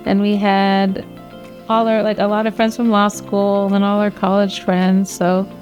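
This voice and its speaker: A woman in her thirties or early forties from New Jersey, reminiscing conversationally as an interviewee, in a halting way with small restarts. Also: medium pitch, completely flat delivery, a little mellow, faint music behind. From grew up in New Jersey. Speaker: law school alumna, interviewee.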